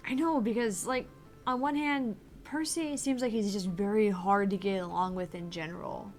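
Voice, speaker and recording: A young woman co-hosting a casual let's-play stream, animated, laughing often and swearing casually. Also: medium pitch, moderate pace, faint music behind. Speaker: game streamer co-host.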